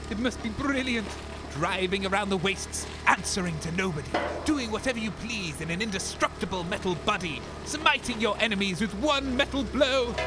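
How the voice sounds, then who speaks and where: An actor playing an excitable, fame-hungry radio DJ with a theatrical, grandiose way of talking in a comic audio drama. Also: male voice, sits high. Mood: envious, rapturous. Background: music bed.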